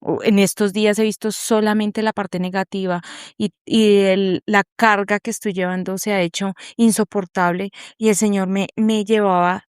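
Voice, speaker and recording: Woman sharing a faith story on a video call, earnest and thoughtful, clean smooth voice, dry close sound. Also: mid-range voice, level tone, normal speed, no echo. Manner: earnest, reflective, searching for words. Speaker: group member sharing a testimony. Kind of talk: personal faith testimony in an online meeting.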